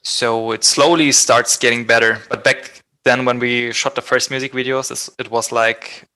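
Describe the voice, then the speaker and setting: Bright, even-toned German man. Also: mid-range voice, normal speed, rough recording. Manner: searches for English words as a second-language speaker. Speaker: interview guest, musician. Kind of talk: casual chat.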